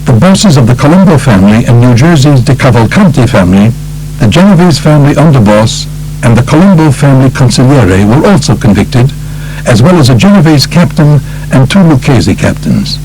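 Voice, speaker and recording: A man's voice: medium pitch, big pitch swings, a little fast, a little mellow, slight background noise, low-quality recording. A man narrating a true-crime book from a script. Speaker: audiobook narrator.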